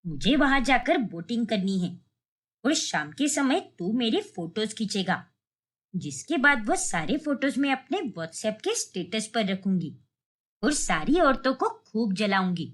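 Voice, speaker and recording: Casting: female performer as bossy, scolding middle-aged mother-in-law for a cartoon family tale, animated and sharp. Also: medium pitch, a little slow, voice pushed down. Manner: sharp, nagging, indignant. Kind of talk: cartoon family drama story.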